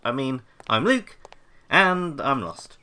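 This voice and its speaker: Young male intern, played by an actor: lost, flustered, sarcastic, bright voice with a very lively pitch range. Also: takes it slowly, exaggerated voice. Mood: awkward, hesitant. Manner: nervous, hesitant, self-talking. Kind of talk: scripted audio comedy drama.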